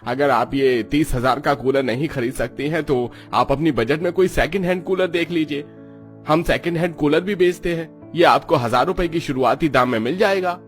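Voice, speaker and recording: A man voicing a polite shop salesman pushing his goods in an animated story. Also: fairly high pitch, normal speed, faint music behind, natural voice. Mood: matter-of-fact. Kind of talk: animated Hindi story acting.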